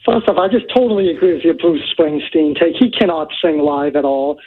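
A man's voice: a little high, fairly quick, a little hiss or hum. A man calling in to a sports radio show, eager and opinionated. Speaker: caller. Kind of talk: call-in on a phone line. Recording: tinny phone line.

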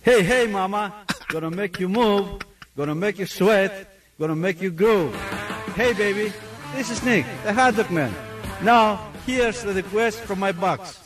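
A man's voice: high voice, measured pace. A man playing a chatty hot dog vendor with a Greek accent in a comic radio bit, with cheesy radio-jock patter. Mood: hammy. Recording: archive clip with music behind.

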